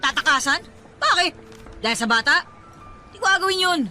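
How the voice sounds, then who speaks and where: A woman's voice: very high-pitched, expressive, brisk, sharp tone, faint music behind, full character voice. A woman with a Filipino accent playing a distraught, defiant pregnant daughter in a radio-style drama, emotional and emphatic. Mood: cold, irritated.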